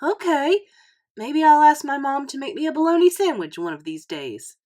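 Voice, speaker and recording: Reluctant fourth-grade boy, voiced by a woman, wry, wary, expressive swings in pitch, storybook hero. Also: normal speed. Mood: hesitant.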